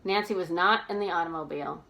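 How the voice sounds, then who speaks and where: A woman's voice: fairly low pitch, fast talker, creaky, fairly bright tone. Woman narrating a novel aloud. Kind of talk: expressive storybook narration.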